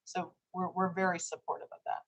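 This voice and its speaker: A woman's voice: on the low side, little pitch movement, fairly quick. A woman giving explanatory testimony as an official at a formal hearing. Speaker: government aviation official testifying.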